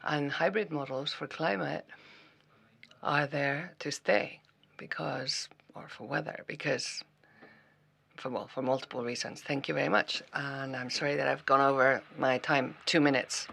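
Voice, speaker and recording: A man ending his conference talk with halting speech and restarted words. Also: mid-range voice, steady tone, creaky, sharp tone. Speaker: conference speaker. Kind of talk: wrapping up a talk.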